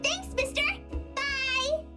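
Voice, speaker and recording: A woman's voice: very high voice, fairly even intonation, slow, over loud music. A woman voicing a polite, singsong little schoolgirl in a visual novel game. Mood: bright, cheerful. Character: cheerful little schoolgirl with an eerie edge.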